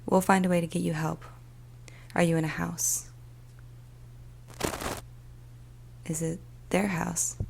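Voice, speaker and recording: A woman voicing a weary, troubled police emergency dispatcher in a soft, often whispered ASMR storytelling monologue, speaking slowly and deliberately. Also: lowish voice, little pitch movement, creaky, noisy background. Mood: calm, reassuring. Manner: slow, hushed, deliberate, with pauses. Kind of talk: ASMR storytelling, first-person dramatic monologue.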